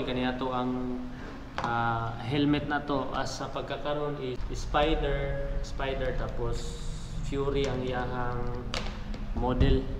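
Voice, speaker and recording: A Filipino man with a Visayan accent giving a casual gear demonstration as a vlogger, talking conversationally and checking in with viewers. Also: mid-range voice. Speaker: motovlogger presenting his gear. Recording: echoey reverberant room, clear background noise.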